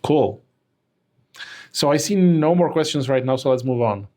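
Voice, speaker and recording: A man's voice: medium pitch, animated, unhurried, a little mellow. A man co-hosting a webinar, relaying audience questions in a hesitant way with many fillers. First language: Czech. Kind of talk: moderating a Q&A.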